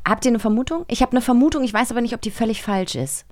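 A woman's voice: on the high side, fast, darkish tone, no room sound. A woman co-hosting a podcast, chatting casually in a playful, enthusiastic way. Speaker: podcast co-host. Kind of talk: casual quiz chat.

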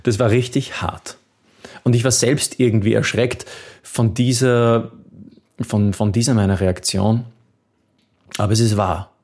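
Gravelly-voiced man coaching earnestly. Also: lowish voice, fairly even intonation, measured pace, long pauses, darkish tone. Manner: earnest, encouraging, with restarts. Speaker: memory technique coach. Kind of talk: advice video answering a viewer question.

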